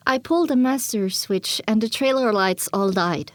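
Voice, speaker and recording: High-voiced woman narrating, very dry sound. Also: moderate pace, no echo. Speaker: audiobook narrator. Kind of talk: first-person scripted story narration.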